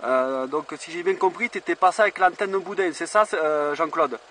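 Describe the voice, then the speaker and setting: A man chatting as an amateur radio operator, halting and repeating himself as he explains. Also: fairly high pitch. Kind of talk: amateur radio contact chat. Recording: distant mic, faint outdoor noise.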